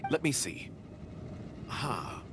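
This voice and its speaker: An actor playing a suspicious, demanding foreign agent in a video game, guarded and questioning. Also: male voice, extremely slow, background noise. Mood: grudging, demanding.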